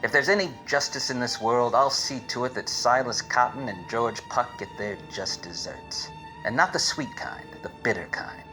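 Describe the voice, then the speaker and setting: A man's voice: mid-range voice, normal speed, poor audio. Man playing a wisecracking 1940s private eye, music behind. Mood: wry, resigned. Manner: wry, deadpan, melodramatic. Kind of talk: 1940s radio detective acting with hard-boiled narration.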